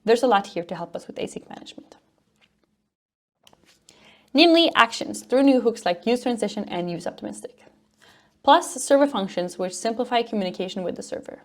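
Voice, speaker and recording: A woman's voice: a little high, animated, brisk, pauses often. Woman giving a tech talk. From Norway. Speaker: conference speaker, front-end developer and educator. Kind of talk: technical conference presentation with demo.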